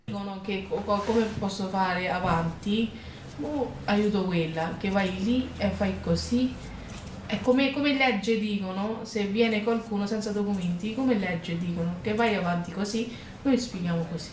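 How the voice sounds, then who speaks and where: A woman's voice: medium pitch, moderate pace, a little mellow. Hesitant woman in an echoey spot. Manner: hesitant, stumbling explanations. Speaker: street interviewee. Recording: echoey spot with faint background noise.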